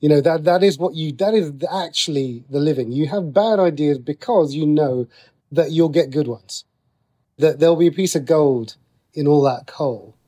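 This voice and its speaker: Guy being interviewed, highish mellow voice, stops and restarts sentences, thinking out loud. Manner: halting, thinking aloud, often rephrasing. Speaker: interview guest, TV and radio writer. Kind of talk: casual interview conversation.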